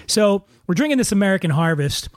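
A man's voice: high voice, very animated, brisk, no room sound, studio quality. A man with a Philadelphia accent hosting a podcast, joking and self-mocking as he rambles through a story. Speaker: podcast host. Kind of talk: casual chat.

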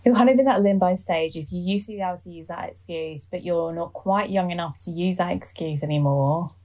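English girl in her twenties, kinda low voice, jokey podcast chat. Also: fairly quick, mellow. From England. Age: 20s. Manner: joking, playful asides. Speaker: podcast co-host. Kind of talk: casual chat.

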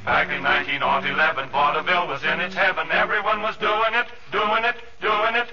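Guy singing old timey radio novelty tune, high voice, band behind him, muffled sound. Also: fairly even intonation, a little fast, over music. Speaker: singer in a vocal group. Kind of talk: 1940s radio novelty song.

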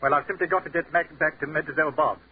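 A man's voice: a little high, steady tone, quick pace, natural voice. Guy doing a proper british army captain, dry and clipped, old crackly radio sound. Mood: worried. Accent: British English. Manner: clipped, formal and wry. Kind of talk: 1940s radio acting. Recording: tinny crackly 1940s radio broadcast.

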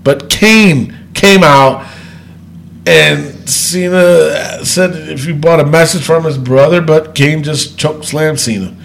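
A man's voice: on the high side, takes it slowly, slight background noise. A man hosting a casual wrestling chat with friends. He has a stuffy nose, swears and laughs a lot. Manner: animated, laughs often, swears. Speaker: podcast host.

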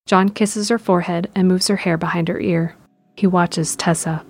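A woman's voice: lowish voice, fairly even intonation, normal speed. A woman narrator reading scripted present-tense narration for an audio drama.

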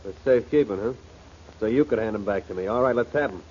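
A man's voice: fairly low pitch, quick pace, a little mellow, husky, dull audio. An actor playing an impatient, threatening male gangster in a 1940s radio drama, speaking curtly on a noisy old recording. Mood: menacing. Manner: curt, threatening. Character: menacing, impatient male gangster holding a gun.

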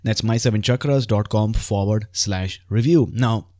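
A man's voice: fairly low pitch, moderate pace, mellow, dry recording, clean studio sound. A man hosting a podcast, speaking earnestly and emphatically straight to his listeners. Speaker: podcast host. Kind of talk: upbeat podcast hosting and reading book excerpts aloud.

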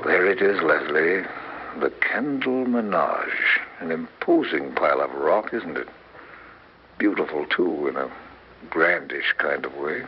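An actor playing the wry, brooding inner voice of an aging, struggling actor in a theatrical 1960s radio monologue. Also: male voice, low voice. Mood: musing. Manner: wry, theatrical, self-addressing. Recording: muffled, hissy old radio broadcast.

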